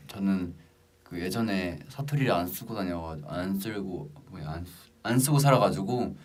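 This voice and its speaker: A young man chatting casually on a livestream, reading out comments with hesitant pauses between short remarks. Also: on the low side, distant mic. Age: young adult. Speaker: idol group member hosting a livestream.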